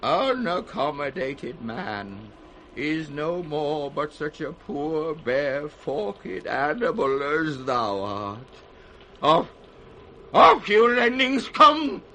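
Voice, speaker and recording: Old, imperious, half-mad king, slow and forceful, classical radio drama, faintly noisy vintage recording. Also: a little high, big pitch swings, exaggerated voice. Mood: wild frenzy. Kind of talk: 1960s Shakespearean radio acting.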